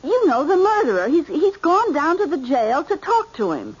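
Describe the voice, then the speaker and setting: An actress playing an anxious, fussing American mother in an old-time radio drama, halting and repeating herself. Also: high-pitched, huge pitch swings, muffled recording. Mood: anxious, fretful.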